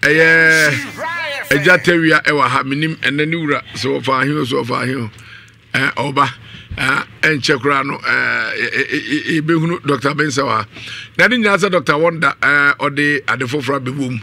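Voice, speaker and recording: A man's voice: medium pitch, normal speed, gravelly, bright, forceful tone, a little hiss or hum. A middle-aged man hosting a radio interview, speaking into a studio microphone. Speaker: radio host. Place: small indoor radio studio. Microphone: studio boom microphone with headphones.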